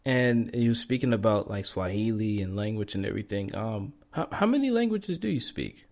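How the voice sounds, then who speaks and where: A podcast host asking friendly questions in a casual interview, upbeat and quick to laugh. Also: medium pitch, animated, darkish tone. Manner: upbeat, laughs often, encouraging.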